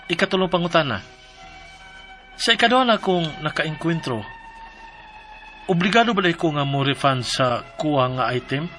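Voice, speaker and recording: A polite, worried young delivery rider in a radio drama, played by a man in his twenties. He speaks in a bright, fairly high voice with soft music underneath. He keeps addressing people respectfully and apologetically. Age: 20s. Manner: polite and apologetic, says sir and ma'am often. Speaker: letter sender narrating his problem.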